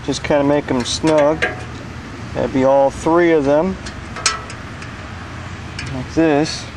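A middle-aged man talks through a repair job in a slow, halting way, filling his pauses with "uh". His voice sits a little high for a man. A faint hum from the outdoor air conditioning unit stays under his speech. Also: steady tone. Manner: slow, plain-spoken, with many "uh" pauses. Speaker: HVAC technician. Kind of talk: hands-on repair tutorial.

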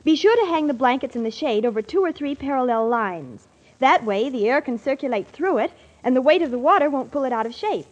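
An American woman gives instructive tips in a 1940s radio commercial. Her chirpy voice is high and very animated, on a dull old recording. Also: a little fast. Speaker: radio ad spokeswoman. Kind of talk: 1940s radio advertisement, scripted dialogue. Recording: muffled old radio broadcast.

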